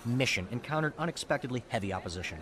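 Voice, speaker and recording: An actor playing a brash, teasing young military cadet in an audio drama, with a gleeful, mock-heroic storytelling manner. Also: male voice, mid-range voice, expressive, rapid-fire, crowd noise, natural voice. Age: young adult.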